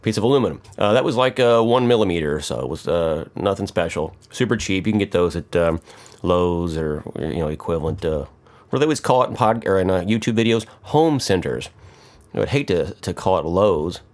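A man's voice: sits low, animated, fairly quick, a little mellow. A middle-aged American man giving casual, off-the-cuff how-to commentary in a wry, joking, self-mocking way. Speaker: electronics hobbyist, video host.

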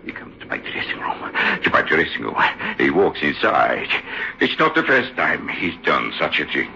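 A deep, gravelly ventriloquist, paranoid and tormented. He swings wildly in pitch as he rants in broken English, in an old lo-fi radio play. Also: big pitch swings, normal speed, bright, forceful tone, slight background noise, full character voice. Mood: frantic, accusing. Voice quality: gravelly, very hoarse. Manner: agitated, emphatic, broken English. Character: tormented, paranoid male Italian stage ventriloquist. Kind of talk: old-time radio drama acting. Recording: dull lo-fi old radio recording.

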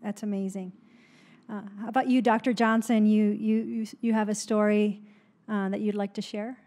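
A young woman hosting a panel, speaking softly with short affirming reactions, into a handheld mic in a small office. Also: mid-range voice, little pitch movement, moderate pace. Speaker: interview host. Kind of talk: moderating a panel. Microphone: handheld mic held close.

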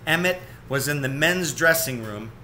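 A man's voice: on the high side, expressive, moderate pace, sharp tone, slight background noise. A man in his forties reading a children's storybook aloud, recorded indoors at home on a webcam.

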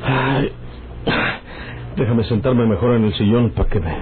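An actor with a northern Mexican accent playing a folksy, domineering rural outlaw and smooth-talking lover in a radio drama. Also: male voice, mid-range voice, a little slow, close to an everyday voice. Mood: evasive, placating. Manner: folksy rustic speech. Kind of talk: old-time radio drama acting. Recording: tinny, noisy old radio recording.

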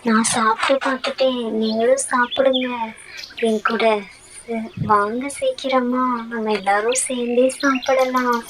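An excited young child calls friends to hurry over and share, voiced by a woman. The voice is fairly high, with faint noise in the background. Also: a little slow, natural voice. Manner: excited, urging others on. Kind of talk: cartoon voice acting.

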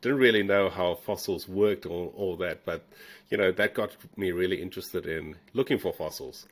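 A middle-aged man from South Africa with a South African accent blended with a New Zealand one, telling stories in a relaxed, rambling way on a video call. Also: low voice, normal speed. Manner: relaxed, rambling with fillers and restarts. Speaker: guest, fossil hunter. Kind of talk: casual storytelling interview. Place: home room.

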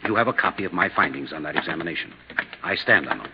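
Prickly, defensive doctor, 1950s radio style; lowish voice, dull vintage recording. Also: male voice, lively intonation, fast, noisy background. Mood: indignant. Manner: indignant, curt, stubborn. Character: belligerent, defensive doctor resenting an investigation. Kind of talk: 1950s radio drama acting.

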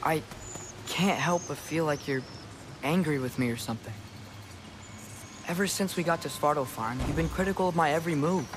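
A man's voice: fairly high pitch, moderate pace, long pauses, background noise, lightly acted. An actor playing an earnest, eager, headstrong teenage boy in a video game. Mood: earnest, pleading. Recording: game music behind.

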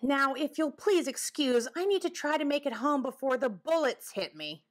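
A woman acts out a talking male woodchuck from a storybook, indignant and easily rattled. The voice sits high and swings widely in pitch. He speaks in a folksy but fussily proper way, with plenty of drama. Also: lively intonation, moderate pace. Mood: polite, hurried. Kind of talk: children's storybook character voice.

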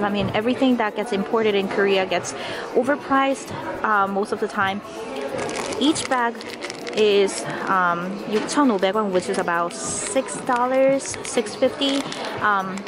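A young woman with a slightly high, hoarse voice narrates a handheld toy-store vlog. She gasps and gushes over each find. Music plays clearly underneath her voice. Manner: excitable, gasping, gushing over finds. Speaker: toy vlogger. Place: toy aisles inside a store.

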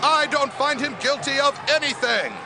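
A man's voice: extremely high pitch, moderate pace. An actor playing an exasperated Roman governor in a scripture audio drama, commanding and emphatic as he questions and projects. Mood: loud, insistent. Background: music and crowd noise.

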